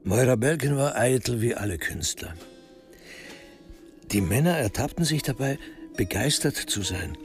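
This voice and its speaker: A man narrating a literary crime radio drama in a measured, precisely articulated way. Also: mid-range voice.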